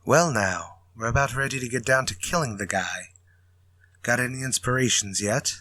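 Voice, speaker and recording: An actor playing a nervous, wheedling crime novelist in a retro radio drama, with an agitated, emphatic delivery. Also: male voice, medium pitch, normal speed, pushed and bright. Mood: brisk, musing.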